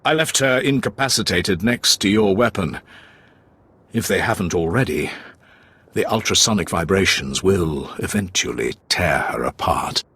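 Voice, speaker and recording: An actor playing a grave, honor-bound Sith warrior in a video game, with a rough voice and solemn, formal delivery. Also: male voice, lively intonation, moderate pace, pushed and bright, no room sound, full character voice. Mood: grim, proud. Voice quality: deep, rough, husky. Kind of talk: video game dialogue acting.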